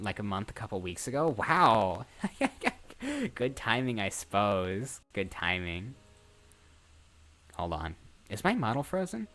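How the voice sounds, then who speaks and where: A man's voice: on the low side, a bit bright. An eighteen-year-old man chatting casually on a live stream, rambling, repeating himself and breaking off mid-sentence. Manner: rambling, repeats phrases, self-interrupting. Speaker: streamer and voice actor.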